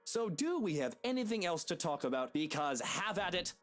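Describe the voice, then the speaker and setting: A woman's voice: on the low side, big pitch swings, moderate pace, soft background music, voice pushed down. A woman voicing a cocky, defensive husband in a brash, dramatic way for a visual novel game. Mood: irritated. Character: cocky, defensive British husband, flustered young man.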